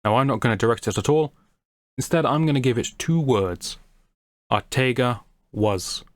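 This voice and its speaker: A man narrating a scripted story in a wry, theatrical manner with deliberate phrasing. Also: mid-range voice, animated, no room sound. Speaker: creator and narrator. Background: music.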